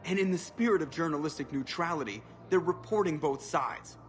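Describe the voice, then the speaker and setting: A man's voice: fairly high pitch, fairly quick, lightly acted. A man playing a wisecracking, sarcastic starship pilot in a video game, with a casual, sardonic way of talking. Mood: wry. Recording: background music.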